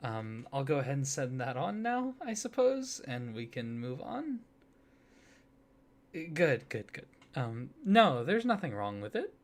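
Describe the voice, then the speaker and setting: A man doing a soft-spoken ASMR roleplay, hesitant and flustered, with many fillers, restarts and awkward apologies. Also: mid-range voice, very animated, unhurried.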